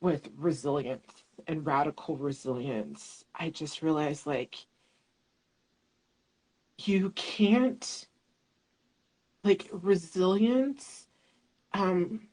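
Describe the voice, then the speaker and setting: A woman with a very low-pitched voice talks as a guest, working through her thoughts with frequent "like" and "you know". Her delivery is searching and reflective, heard over a remote call mic. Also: very slow, pauses often, warm tone, rough recording. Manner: searching, many fillers and restarts, thoughtful. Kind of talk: reflective conversation.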